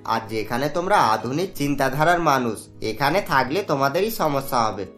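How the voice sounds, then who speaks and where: A man's voice: mid-range voice, lively intonation, moderate pace, fairly bright tone, soft background music, close to an everyday voice. A man voicing a sly, meddling older neighbour in a family cartoon, with an insinuating, gossipy manner. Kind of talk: cartoon voice acting.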